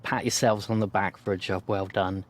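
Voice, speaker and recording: A man narrating a tabletop game as game master, in a relaxed, measured way. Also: on the low side, a little hiss or hum.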